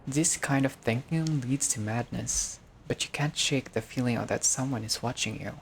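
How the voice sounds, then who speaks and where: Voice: medium pitch, moderate pace, vocal fry, a little hiss or hum. A gamer reading a visual novel aloud in a casual let's-play style, breaking off into joking, sweary asides.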